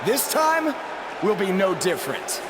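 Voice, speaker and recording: A defiant young wrestling hero in a video game speaks high and with deliberate declamation. His voice swings widely in pitch. A loud, noisy arena crowd surrounds him. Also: big pitch swings.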